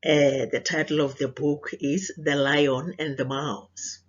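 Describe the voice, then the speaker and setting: South African female teacher for children's read-aloud, husky and deliberate, muffled lo-fi sound. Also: sits low, flat delivery. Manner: deliberate, questioning, addressing young children.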